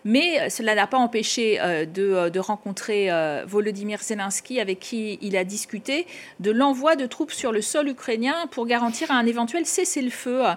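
Higher-voiced woman interviewer. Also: moderate pace. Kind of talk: broadcast political interview questioning.

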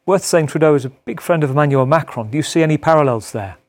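A man hosting a news panel show, introducing topics and guiding the guests in a brisk conversational way. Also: on the high side, lively intonation.

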